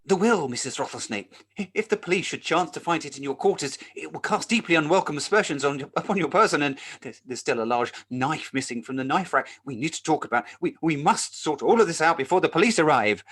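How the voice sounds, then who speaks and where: A man's voice: on the high side, expressive, brisk, few pauses, a bit bright, slightly rough. A man playing a fussy, pompous manor butler with formal, hesitant speech in a comic murder mystery. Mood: furtive, urgent.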